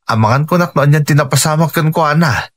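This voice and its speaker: A man's voice: on the high side, quick pace, close to an everyday voice. A man with a Filipino accent acting an anxious, fretting man in a radio drama. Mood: worried.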